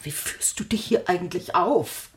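A woman's voice: lowish voice, normal speed, lightly acted. An actress playing a stern, impatient mother in a radio drama. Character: stern scolding mother, middle-aged woman.

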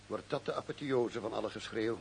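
A man's voice: on the low side, brisk, vocal fry, slight background noise. An actor in a radio drama playing an accused policeman, terse, formal, dry and unbowed. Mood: dry defiance.

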